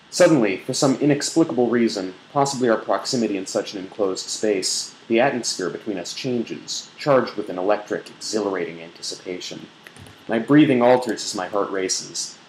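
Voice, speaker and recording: A man's voice: on the low side, expressive, moderate pace. A man giving a theatrical, mock-sensual dramatic reading of an erotic novel. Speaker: blogger reading aloud. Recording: roomy echo, faint background noise.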